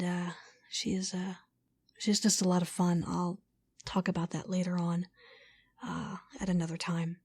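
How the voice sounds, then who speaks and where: A woman's voice: mid-range voice, darkish tone, no room sound. A woman hosting a podcast, giving casual announcements and interview questions.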